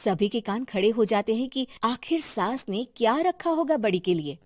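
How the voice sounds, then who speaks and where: Woman, story narrator, scripted cartoon storytelling, slightly high pitch, dry recording. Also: lively intonation, normal speed, a little mellow, no echo.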